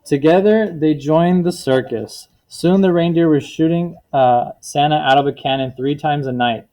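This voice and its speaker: A man's voice: fairly high pitch, normal speed, mellow. Man reading a storybook aloud to children. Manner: explains words simply, checks understanding with questions. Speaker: teacher reading a picture book to children. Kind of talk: read-aloud storytelling with questions to a class.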